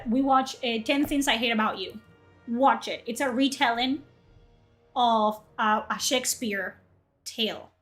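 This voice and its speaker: Young woman from Spain, native Spanish speaker, loose chatty streamer, slightly high voice, faint music bed. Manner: chatty, rambling, reads chat aloud. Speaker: livestream host. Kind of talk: casual livestream chat.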